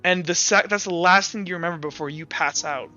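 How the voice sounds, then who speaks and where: High-voiced man narrating a tabletop game. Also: bright, forceful tone, dull audio, faint music behind. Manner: halting, dramatic, swears casually. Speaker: game master.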